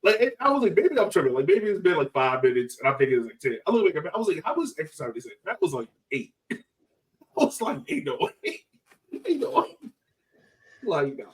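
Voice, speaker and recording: A man hosting a casual sports fan podcast, talking in an animated, slangy, exclaiming way. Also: high voice, a little fast, recorded from a distance, poor audio. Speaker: podcast host.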